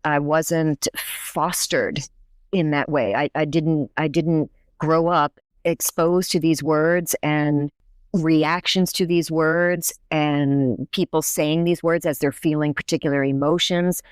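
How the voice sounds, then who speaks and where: A woman's voice: deep voice, fairly even intonation, takes it slowly, clean tone, no echo. Woman explaining research, dry close mic. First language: English. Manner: thoughtful, explanatory, frequent restarts. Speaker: professor, guest researcher. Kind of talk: conversational interview.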